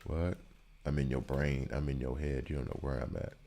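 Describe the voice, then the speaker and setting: A man's voice: very deep voice, level tone, moderate pace, gravelly, dark tone. An American man in his thirties, chatting casually with viewers while he plays, rambling and joking as he answers their questions. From United States.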